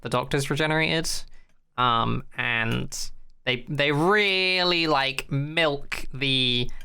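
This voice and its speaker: Sarcastic young man, clear voice. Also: mid-range voice, slow pace, bright, forceful tone. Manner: blunt, sarcastic, swears casually, mocks the show with exaggerated quotes. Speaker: podcast co-host. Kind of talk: casual chat.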